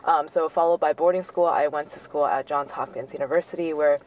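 A woman's voice: deep voice, fairly even intonation, fairly quick, a little mellow, distant mic, slight background noise, low-quality recording. A young woman from Shanghai with an American accent, answering conversationally as an interview guest. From grew up in Shanghai, China. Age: young adult. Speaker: interview guest, corporate innovation manager.

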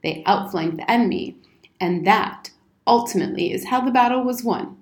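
A woman's voice: on the high side, moderate pace, natural voice. A woman acts out a blustering old soldier from a storybook. He is pompous and wordy, leaning hard on his words, in a room with noticeable echo. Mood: pompous, proud. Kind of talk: storybook character reading. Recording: roomy, echoing indoor sound.

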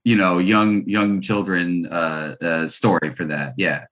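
A man's voice: low-pitched, level tone, takes it slowly, a bit bright. A man interviewing a guest in a casual conversation, drawing her out and offering frequent short backchannels.

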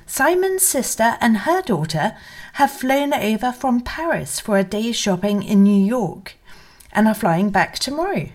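A woman's voice: a little high, big pitch swings, a little slow, darkish tone. A woman narrating a first-person memoir as a scripted literary reading. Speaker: reader of memoir excerpts.

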